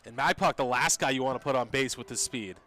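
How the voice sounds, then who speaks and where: A man's voice: medium pitch, quick pace, pushed and bright. A man giving color commentary and analysis during a live baseball radio broadcast. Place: ballpark broadcast booth.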